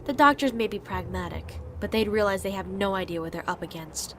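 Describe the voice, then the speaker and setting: A woman's voice: mid-range voice, animated, brisk, noisy background, natural voice. A woman playing a stern, scornful young soldier in an audio drama, curt and coolly bossy. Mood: cold, scornful. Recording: music playing behind the voice.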